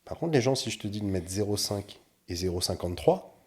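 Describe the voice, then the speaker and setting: A man with a low, mellow voice talks as a guest on a casual podcast. He is animated and walks through his points with concrete examples, and his tone is warm and unhurried. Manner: animated, explains things with examples.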